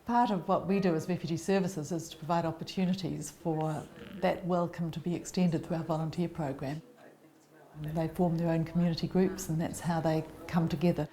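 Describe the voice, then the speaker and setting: Low, mellow woman explaining things. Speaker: refugee services manager. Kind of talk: explanatory interview.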